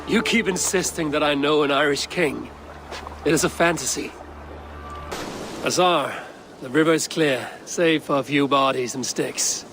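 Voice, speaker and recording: An actor playing a blunt, commanding Viking warrior in a video game, speaking in terse, direct, wary lines. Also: male voice, a little high, measured pace, over music. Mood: resolute, blunt. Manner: terse, direct, plain-spoken. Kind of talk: video game cutscene acting.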